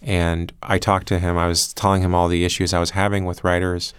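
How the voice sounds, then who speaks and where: Deep-voiced man in reflective interview. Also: little pitch movement, a little fast, smooth, dry recording, clean studio sound. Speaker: podcast creator and producer.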